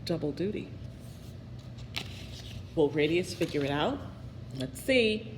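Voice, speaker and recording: Animated woman reading a storybook aloud. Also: deep voice, slow pace. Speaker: storybook reader. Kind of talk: expressive picture-book read-aloud with asides. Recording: echoey reverberant room, faint noise.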